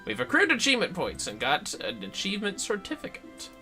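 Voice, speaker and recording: A man talks casually as he hosts a gaming livestream. His voice is bright and very lively in pitch, with playful, chatty asides muttered at the game. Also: brisk, vocal fry, faint music behind. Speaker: livestream host playing an online video game.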